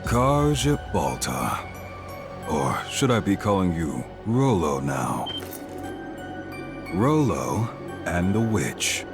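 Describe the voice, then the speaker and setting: An actor playing a cunning, smug warrior commander in a video game, speaking in a suave, sardonic, measured way. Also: male voice, low voice, full character voice. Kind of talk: dramatic video game voice acting. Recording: game music under the voice.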